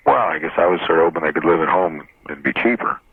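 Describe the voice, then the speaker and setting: Over a narrow, tinny phone line, a man in his forties calls in to a radio show. His voice is extremely low and slightly husky. He speaks hesitantly and mildly, deferring to the other person. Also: expressive, fast. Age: 40s. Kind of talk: phone-in conversation.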